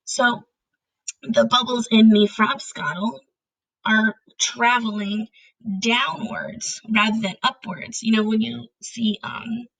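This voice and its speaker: Creaky, husky woman reading a story aloud. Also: on the high side, fairly even intonation, takes it slowly, a bit bright, poor audio. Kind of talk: storybook narration with informal explanations.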